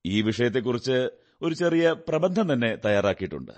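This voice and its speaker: An actor playing a shrewd, composed male detective in a radio drama, speaking deliberately with theatrical pauses. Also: mid-range voice, big pitch swings, a little mellow, close to an everyday voice. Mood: analytical.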